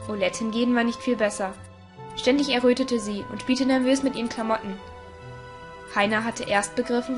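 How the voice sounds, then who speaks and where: A woman narrator reading a scripted audio story. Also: a little high. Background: music.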